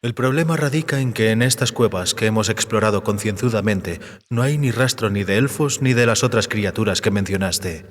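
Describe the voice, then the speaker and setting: A man voicing an urbane, cautious, learned male vampire in an audiobook drama, measured, erudite and resigned. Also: lowish voice, close to an everyday voice. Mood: measured, sceptical. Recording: cave-like reverb.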